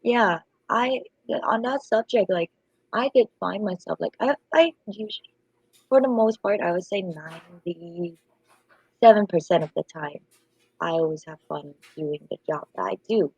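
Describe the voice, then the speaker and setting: A woman's voice: fairly low pitch, moderate pace, vocal fry, darkish tone, husky, low-quality recording. A woman from Asia, a native Vietnamese speaker, chatting casually as a podcast guest, her voice off from a lingering illness. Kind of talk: casual chat.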